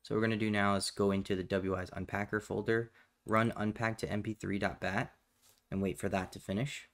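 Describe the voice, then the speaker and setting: A man narrating a step-by-step software tutorial in a matter-of-fact, instructional way. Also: on the low side, little pitch movement, fairly quick. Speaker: tutorial presenter.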